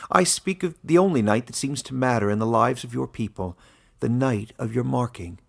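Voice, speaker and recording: A man plays an elderly fantasy warden with a very creaky, husky voice. He is kindly and quietly playful, yet speaks with ceremonious, mysterious authority, pitched up and measured like a storybook elder. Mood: grave, measured.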